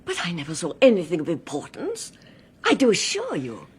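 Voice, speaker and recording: An actress playing a kindly, proper English governess in a radio drama, flustered, earnest and polite, with an English accent. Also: female voice, lowish voice, huge pitch swings, brisk, a little mellow, breathy edge, full character voice. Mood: flustered protest.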